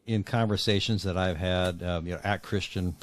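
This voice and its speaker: Very low-voiced middle-aged man, earnest. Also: fairly even intonation. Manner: earnest, halting with repeated words. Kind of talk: conversational interview answers.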